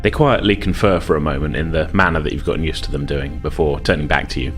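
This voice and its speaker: A man narrating a tabletop role-playing game as game master, casual and halting as he explains. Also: extremely low pitch, fairly even intonation, fairly bright tone, faint music behind.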